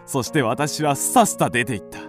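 A man's voice: medium pitch, expressive, moderate pace, over music, lightly acted. Actor as moody young man, swooping dramatic delivery. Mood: gleeful, mischievous. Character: moody, melancholic young man with restless, impish fancies. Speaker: actor narrating a radio drama. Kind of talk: dramatic first-person literary narration.

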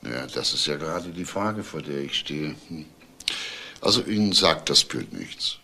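A police detective in a crime radio play, probing and unhurried. His voice is very deep and gravelly, with a forceful edge. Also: animated, heavily acted. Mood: probing, measured. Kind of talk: naturalistic crime drama dialogue.

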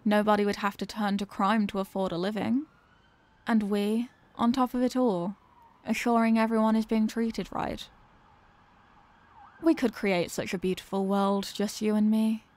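A woman's voice: mid-range voice, level tone, normal speed, darkish tone, natural voice. A woman voicing a dominant, mocking female villain in an ASMR roleplay, with a condescending, teasing, theatrical manner. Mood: idealistic, persuasive.

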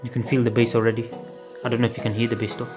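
A man's voice: medium pitch, flat delivery, rapid-fire, music in the background. A man casually unboxing and trying out a gadget, thinking aloud and swearing offhandedly, recorded on a phone at home at night. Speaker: unboxing video host. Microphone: phone camera filming hands on a table.

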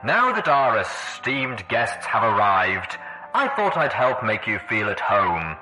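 Male reader as suave older stallion villain, wry and forceful, intercom sound over music. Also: lowish voice, sing-song, slow pace, exaggerated voice, rough recording. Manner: pleasant, wry, mock-hospitable. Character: older wasteland-hardened stallion warlord, pleasant and wry. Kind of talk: audiobook character voice.

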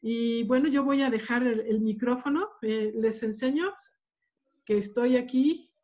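A woman hosting a seminar over a video call, addressing the group in a lively, cheerful way. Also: fairly high pitch, unhurried. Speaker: seminar host. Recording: dull lo-fi call audio.

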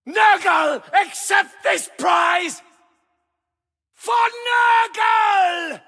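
Shrieking, high-pitched plague-cult fanatic. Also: male voice, sharp tone, full character voice. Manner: bellowing, zealous, menacing. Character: fanatical plague-cult gunman, a rot-worshipping enemy soldier. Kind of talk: shouted video game combat barks. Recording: long echoing reverb.

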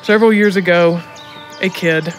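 A woman's voice: lowish voice, fairly even intonation, moderate pace, soft background music. A woman from Alabama telling reflective stories in an interview, emphatic and often checking with "right?". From Alabama, United States. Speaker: prison education program director.

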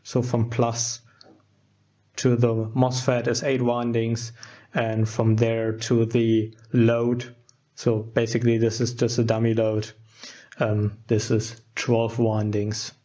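A man with a soft, dark-toned voice walks through a hands-on demonstration. He speaks slowly and informally, with very little rise and fall, often halting as he thinks aloud. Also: mid-range voice, monotone. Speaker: host of a DIY electronics channel. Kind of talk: casual unscripted demonstration. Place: indoors at a wooden workbench.

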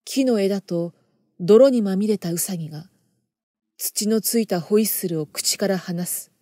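A woman performing a first-person horror story narration in a theatrical, intense manner, moving between hushed and emphatic delivery. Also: mid-range voice, measured pace, no room sound, clean studio sound.

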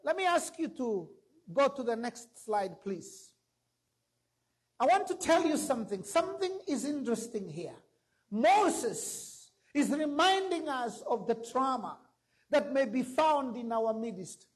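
A man's voice: extremely high pitch, very animated, a little slow, husky, rough recording. A middle-aged man preaching a sermon from a church stage into a handheld mic, emphatic and rising to exclamations. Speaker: pastor.

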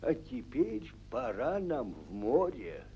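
An actor playing a solemn, commanding old giant warrior of the sea in a cartoon, declaiming verse in a stately, drawn-out way. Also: male voice, medium pitch, wildly swooping pitch, warm tone. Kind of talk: theatrical declamation of fairy-tale verse. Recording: noisy 1940s film soundtrack.

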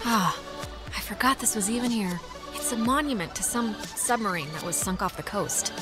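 A woman's voice: medium pitch, lively intonation, moderate pace, music in the background, lightly acted. A woman with an American accent voicing an easygoing, wry teenage girl in a video game, casual and a little hesitant. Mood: curious. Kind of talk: naturalistic video game acting.

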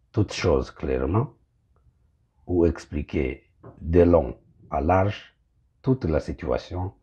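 Pastor, middle-aged man, very low and slow, muffled phone sound; gropes for words, French speaker. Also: warm tone, slightly rough. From Congo. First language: Kinyamulenge. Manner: halting, searching for words. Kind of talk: direct-to-camera address. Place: small indoor room.

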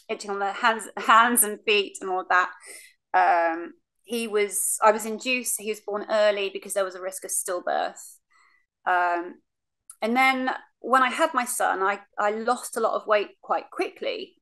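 A woman's voice: mid-range voice, fairly bright tone, rough recording. Woman frankly recounting her illness. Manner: frank, many ums, acts out remembered lines. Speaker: cancer patient telling her story. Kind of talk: casual recounting, remote interview. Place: living room, seated on a sofa. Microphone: video call webcam.

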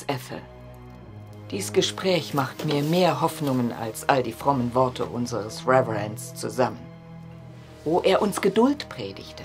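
An actress playing a middle-aged, anguished yet hopeful mother of a vanished son, speaking gravely and formally in a horror audio drama. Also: low-pitched.

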